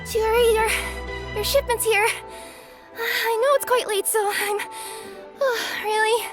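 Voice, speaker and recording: A hurried young cat-spirit delivery girl in a very high, bright voice, tumbling over her apologies. She sounds eager and easily rattled. Game music sits behind her. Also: steady tone. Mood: flustered. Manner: breathless, apologetic, flustered. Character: flustered young cat-spirit courier woman. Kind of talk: game voice acting.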